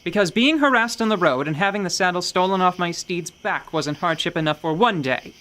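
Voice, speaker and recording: A man voicing an indignant, sharp-tongued female mercenary in a fantasy radio play. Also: sits high, animated, fairly quick, pitched above the performer's own voice.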